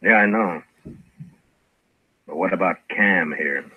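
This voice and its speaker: Through a narrow, muffled old radio recording comes a calm American lawman of the Old West. His voice is deep and a little rough. He speaks with sly, dry understatement in classic 1950s radio Western fashion. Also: no room sound, full character voice. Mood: baiting. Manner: dry, unhurried, slyly understated.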